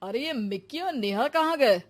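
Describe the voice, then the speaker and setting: A woman's voice: high voice, expressive, normal speed. A woman voicing an easygoing young husband in a cartoon story. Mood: annoyed. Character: easygoing young husband, reassuring family man.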